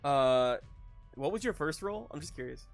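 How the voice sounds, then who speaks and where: A man narrating a tabletop superhero game as game master in a casual, halting way, often searching for his words. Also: on the high side, animated, vocal fry, soft background music.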